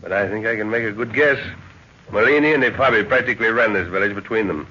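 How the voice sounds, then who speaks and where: A man's voice: fairly low pitch, sharp tone. An actor playing a decisive older professor and father who leads a jungle expedition in a 1930s radio drama. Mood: urgent, commanding. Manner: musing hums, brisk orders. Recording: muffled, hissy old 1930s radio recording.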